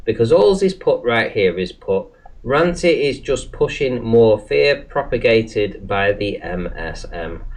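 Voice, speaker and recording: A man hosting a casual online panel chat, animated and swearing freely, filling his talk with "you know". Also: medium pitch, unhurried. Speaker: panel host and chat moderator.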